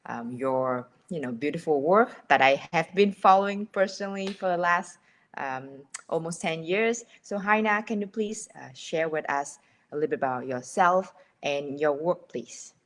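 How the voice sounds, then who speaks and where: A woman hosting a live video-call interview, speaking warmly and encouragingly to her guest. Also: low-pitched, lively intonation, normal speed. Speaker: interview host.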